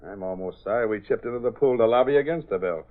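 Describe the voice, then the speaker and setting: An actor playing a smug, emphatic gambling-racket boss in an old radio drama. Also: male voice, medium pitch, fast, phone-quality sound, lightly acted. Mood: smug, gloating. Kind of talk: 1930s-40s radio drama acting. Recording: band-limited old radio broadcast recording.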